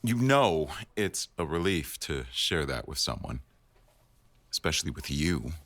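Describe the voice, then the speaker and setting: A man acting an attentive, tender boss in an intimate boyfriend ASMR roleplay, murmuring slowly to an employee in a gravelly voice. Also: sing-song, fairly bright tone, no room sound, exaggerated voice. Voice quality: very deep, gravelly.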